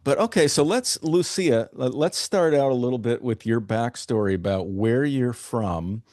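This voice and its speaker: A man hosting a video-call interview about moving abroad, effusive and quick to praise what he is shown. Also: mid-range voice, expressive, darkish tone, dry recording, clean studio sound. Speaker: host.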